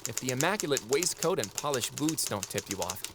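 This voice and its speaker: A man's voice: medium pitch, very animated, fairly quick, background noise. An actor playing a wry, irreverent former god who narrates his own record in a chatty, sardonic audio drama style. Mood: amused, sardonic.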